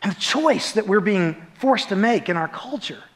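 Man, forties, American accent, high-pitched voice, pastor preaching, conversational, emphatic. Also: animated, moderate pace, a bit bright. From United States. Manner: conversational, emphatic, rhetorical tag questions. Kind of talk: sermon. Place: large dark church auditorium, on stage.

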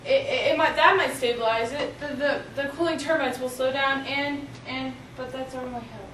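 A young teenage girl playing an anxious, halting reactor engineer in an amateur school drama. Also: high voice, steady tone, a bit bright. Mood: worried. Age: young teen. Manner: halting, stammering. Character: anxious reactor engineer pushing through a risky procedure. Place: small office. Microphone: far camera. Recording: roomy, distant camera mic, faint noise.

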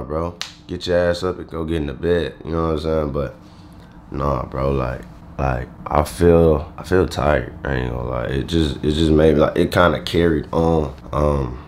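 A young man vlogging from his bedroom right after waking up, groggy and rambling in loose slang with lots of fillers. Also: low-pitched. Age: young adult. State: groggy, just woke up. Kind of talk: casual vlog talking to camera. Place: bedroom at home, indoors.

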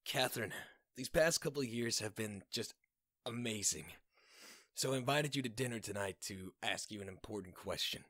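Man acting a soft, hesitant nervous boyfriend. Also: lowish voice, expressive, normal speed, no room sound, clean studio sound. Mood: nervous, tender. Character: nervous, awkward young boyfriend on a dinner date.

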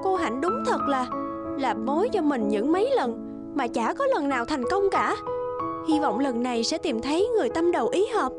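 A high-voiced, fretful young woman. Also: big pitch swings, moderate pace. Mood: wistful. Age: young adult. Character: anxious, judgmental young single woman in a cartoon. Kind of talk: animated drama dialogue. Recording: studio voice over background music.

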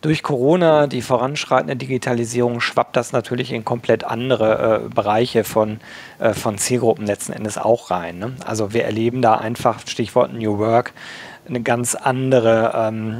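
A man's voice: medium pitch, vocal fry. A man hosting a podcast interview, talking casually with many hesitations. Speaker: podcast host.

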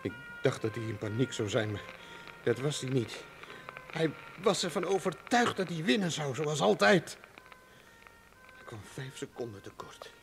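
A shaken, agitated character in a radio drama. His voice is gravelly and swings sharply in pitch, with faint music behind. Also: very animated, unhurried. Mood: grim, shaken. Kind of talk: Dutch radio drama acting.

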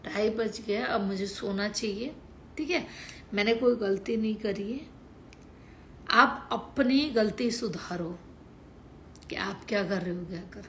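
A hoarse woman from Haryana ranting crudely and combatively on a late-night live stream from home, swearing heavily into her phone. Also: mid-range voice, takes it slowly, long pauses, a bit bright, a little hiss or hum. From Haryana, India.

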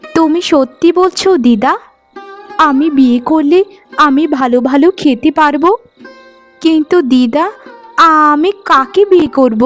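A woman's voice: high-pitched, unhurried, pauses often, soft background music. A woman voicing a meek, mistreated young stepdaughter in a cartoon, plaintive and pleading. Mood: sorrowful. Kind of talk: melodramatic Bengali cartoon dialogue.

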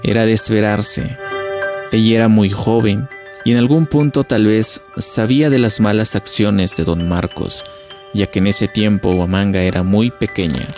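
A man's voice: on the low side, moderate pace, darkish tone, soft background music. A man narrating a local legend as scripted storytelling.

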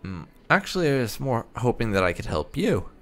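A man's voice: medium pitch, sing-song, brisk, faint music behind. A man doing playthrough commentary, musing and reading his character's dialogue choices aloud. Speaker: gaming channel host roleplaying his character.

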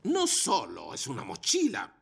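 An actor voicing a proud, boastful old storytelling motorcycle in a cartoon, with a gravelly, theatrical delivery and a Latin American Spanish accent. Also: mid-range voice, huge pitch swings, exaggerated voice. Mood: showy, proud. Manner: theatrical, drawn-out emphasis. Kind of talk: animated children's cartoon dub.

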